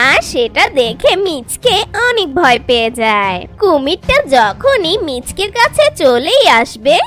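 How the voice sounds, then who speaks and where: Woman narrator, very high pitch, lively intonation, children's storytelling, music underneath.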